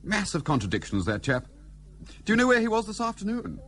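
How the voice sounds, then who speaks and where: A man's voice: high voice, huge pitch swings, fairly quick, fairly bright tone, heavily acted. Man as a knowing gossipy army officer from the raj, emphatic, swoopy delivery, radio play. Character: gossipy, emphatic British officer in colonial India. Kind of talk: period radio play acting.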